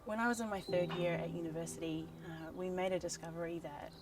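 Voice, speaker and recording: Young kiwi woman telling her story, sounds far off, music loud underneath. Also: on the low side, fairly even intonation, fast. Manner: earnest, reflective storytelling. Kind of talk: scripted personal narration over music.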